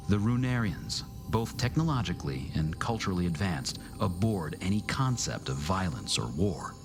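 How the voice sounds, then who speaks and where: An actor playing a grave, battle-weary narrator of an alien war in a motion comic, solemn, measured and deliberate. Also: male voice, low-pitched. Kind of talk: dramatic scripted narration. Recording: music behind the voice.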